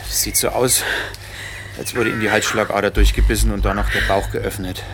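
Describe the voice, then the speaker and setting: An actor playing a laconic provincial police detective in a naturalistic radio drama, with a dry, slightly hesitant way of talking. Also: male voice, on the low side, fairly even intonation, normal speed, noisy background, close to an everyday voice. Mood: uneasy, businesslike. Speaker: police chief inspector.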